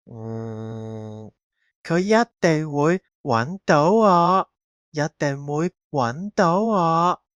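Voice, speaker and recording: A man's voice: a little high, animated, drawn-out words, fairly bright tone, no room sound, lo-fi sound, full character voice. A man acting a haunted, bitter fugitive in an audio drama, with a brooding delivery. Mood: fearful. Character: haunted, bitter man hiding for thirty years, resigned.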